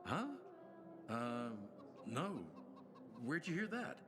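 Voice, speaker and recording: A man's voice: fairly high pitch, big pitch swings, extremely slow, background noise, exaggerated voice. An actor voicing a nervous, shifty male merchant who pleads and dodges in a flustered way, in video game dialogue. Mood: pleading. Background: music.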